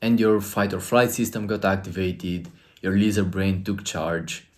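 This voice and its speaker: A man's voice: deep voice, level tone, measured pace, a little mellow. A man from Romania with a Romanian accent, giving an earnest, emphatic motivational talk to camera as a coach.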